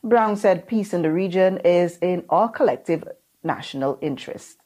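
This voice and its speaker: A woman's voice: fairly low pitch, expressive, moderate pace, warm tone. Woman reading a news report. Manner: crisp, neutral news delivery. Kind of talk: scripted news narration.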